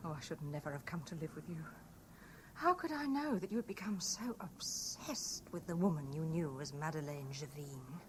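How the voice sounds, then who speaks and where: A woman's voice: sits low, lively intonation, moderate pace. An actress playing a mistress confessing her part in a murder plot, with theatrical 1970s radio drama delivery. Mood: wistful, distressed. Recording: noisy old radio broadcast recording.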